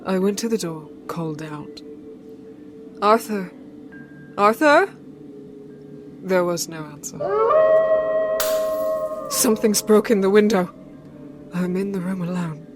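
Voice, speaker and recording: A woman's voice: mid-range voice, animated, slow pace, long pauses. A woman playing a frightened, fragile young lady in a dramatic old-time radio play. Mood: mounting dread. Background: prominent music.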